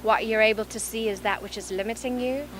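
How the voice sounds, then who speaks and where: A woman with a South African accent touched by English, a life coach speaking earnestly and softly in an interview, often repeating phrases. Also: fairly high pitch, fairly even intonation, fairly quick, a little hiss or hum. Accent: South African with some English. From born in Zimbabwe, raised in South Africa. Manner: earnest, soft-spoken, repeats phrases for emphasis. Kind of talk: reflective interview talk. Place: large event venue.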